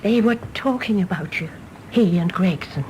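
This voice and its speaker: A woman playing a deferential, confiding household servant in a radio drama, speaking in a hushed manner. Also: fairly low pitch, lively intonation, normal speed, slight background noise, lightly acted, poor audio. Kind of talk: stage play performed as broadcast drama.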